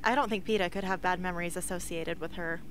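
A woman's voice: lowish voice, steady tone, fast, background noise, lightly acted. A woman playing a guarded, skeptical, terse teenage heroine in an amateur radio play. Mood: reserved.

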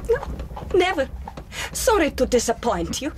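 A woman playing a defiant, coolly vengeful young Greek woman in a radio drama, speaking in a measured way. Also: high-pitched, big pitch swings, background noise. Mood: firm refusal.

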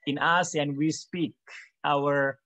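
A middle-aged man giving an academic webinar talk over a video call, measured, with many "for example"s and pauses. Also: on the high side, steady tone, pushed and bright, no echo. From Indonesia. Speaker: webinar speaker.

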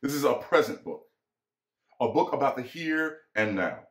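A man in his twenties reading aloud conversationally and dramatically, addressing the listener directly with deliberate pauses. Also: medium pitch, big pitch swings, fairly bright tone. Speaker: performer reading a book aloud. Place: small room indoors, seated. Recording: echoey room.

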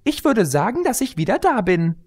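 A man voicing an excitable, cheerful young female pony in a storybook reading. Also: sits high, normal speed, pitched above the performer's own voice. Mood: chirpy, relieved.

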